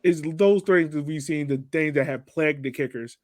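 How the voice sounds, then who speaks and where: Higher-voiced man, dry close sound. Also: normal speed, a little mellow, no room sound. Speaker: soccer podcast panelist. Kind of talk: casual post-game sports discussion.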